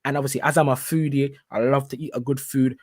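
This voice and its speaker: A man's voice: medium pitch, fairly even intonation, fast talker, no room sound, studio quality. A man hosting a casual interview show, enthusiastic and repeating words for emphasis. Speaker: host.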